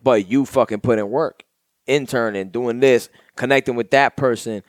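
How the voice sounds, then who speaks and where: A young man hosting a podcast, talking in an animated, emphatic way and swearing freely in casual chat. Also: mid-range voice, normal speed, dry recording, studio quality. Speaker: podcast host.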